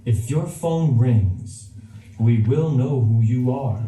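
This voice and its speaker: Male pre-show announcer, deadpan and deliberate, very mellow tone, distant in a reverberant room. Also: medium pitch, a little hiss or hum. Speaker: theater announcer. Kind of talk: pre-show announcement with mock-ominous humor. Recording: echoey theater hall from far off.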